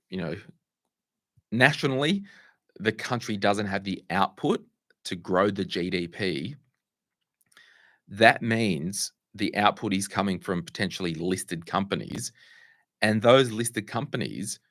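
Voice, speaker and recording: Croaky deep-voiced Australian man. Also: a bit bright, no echo, clean studio sound. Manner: conversational, restarts and asides while fixing tech. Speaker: host answering viewer questions. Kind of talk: casual livestream Q&A.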